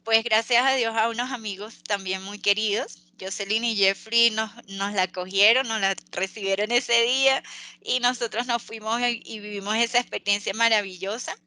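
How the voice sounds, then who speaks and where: A Venezuelan woman speaking earnestly as an interview guest, pausing and restarting often. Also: on the high side, fairly even intonation, sharp tone, dry recording. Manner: earnest, halting with many restarts and fillers. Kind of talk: interview over a call.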